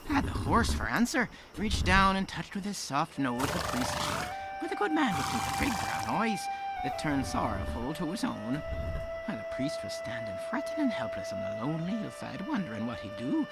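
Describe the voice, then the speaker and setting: A man playing a folksy fireside storyteller with an Irish accent and a creaky voice, narrating a tale. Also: on the high side, wildly swooping pitch, normal speed, low-quality recording. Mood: storytelling. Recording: music underneath.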